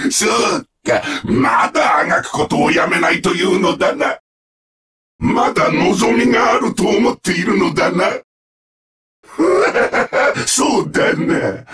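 An actor playing a sadistic, mocking combat-robot villain in a Japanese video game, with sneering, theatrical, drawn-out taunts. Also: male voice, high voice, fairly bright tone, far from the mic, heavily acted.

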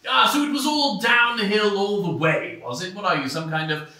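A man's voice: sits high, normal speed, bright, forceful tone. An actor playing a smug male intellectual debating on TV in a stage play, heard from a far mic in a small stone-walled theater. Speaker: debate guest. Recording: roomy, distant stage sound.

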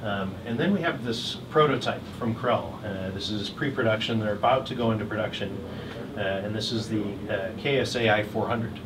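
A middle-aged man casually presenting products as a dealer, with a halting, hesitant delivery. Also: fairly low pitch. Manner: halting, hesitant delivery with frequent long pauses. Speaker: hi-fi dealer exhibiting at a trade show. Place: hotel room at an audio show, indoors. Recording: distant mic, clearly noisy room.

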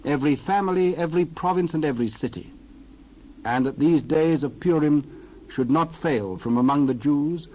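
A man narrating a dramatized scripture reading in a measured, solemn way with deliberate phrasing. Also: fairly high pitch, darkish tone, poor audio. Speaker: Bible narrator.

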